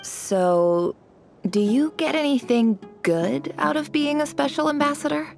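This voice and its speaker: An actress playing a young daughter of a desert tribe chief, asking skeptical questions in a game cutscene. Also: female voice, high-pitched, lively intonation, measured pace, vocal fry, darkish tone, soft background music, full character voice. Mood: doubtful.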